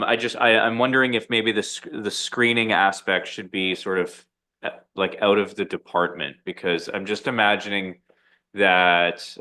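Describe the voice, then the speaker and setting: A councillor speaking hesitantly at a council meeting, with many fillers and restarts. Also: male voice, deep voice, pushed and bright.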